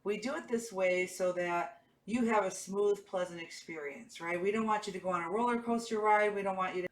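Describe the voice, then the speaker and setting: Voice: medium pitch, brisk. A car finance salesperson talking conversationally on a sales call, explaining step by step in a persuasive way and often checking in with the listener. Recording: echoey room, distant from the mic.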